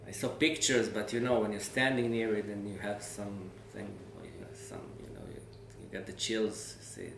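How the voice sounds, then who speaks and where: Low, gravelly Israeli man, halting speech. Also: lively intonation. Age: 40s. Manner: halting, searching for words, repeats word beginnings. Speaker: interviewee sharing family history. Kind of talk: personal oral-history recollection. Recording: echoey room with faint background noise.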